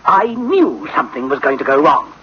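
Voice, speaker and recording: A man's voice: a little high, big pitch swings, moderate pace, slightly rough, heavily acted. A man playing a troubled, conscientious chief of a futuristic project in a dramatic, brooding radio drama style. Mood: alarmed. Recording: dull, noisy old radio recording.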